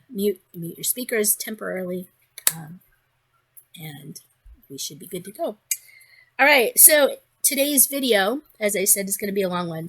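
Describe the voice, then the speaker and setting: A woman with a hoarse, congested voice, chatting casually in a crafting vlog, rambling with many ums and restarts. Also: medium pitch, a bit bright. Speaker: crafting vlogger.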